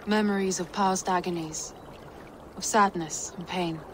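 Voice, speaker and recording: An actress playing a composed, frank Viking noblewoman in a video game, measured and formal. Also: fairly low pitch, steady tone, noisy background, close to an everyday voice. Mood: calm, concerned. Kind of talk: dramatic game cutscene acting.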